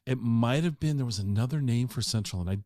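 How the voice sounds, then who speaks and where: A middle-aged man hosting a podcast interview, chatty and teasing with animated asides. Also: mid-range voice, fast, no echo, clean studio sound. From Washington State. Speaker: podcast host. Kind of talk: casual interview chat.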